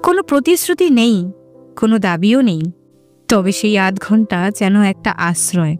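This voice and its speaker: A woman narrator reading a scripted romantic story in a poetic, measured way. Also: medium pitch, very animated, faint music behind.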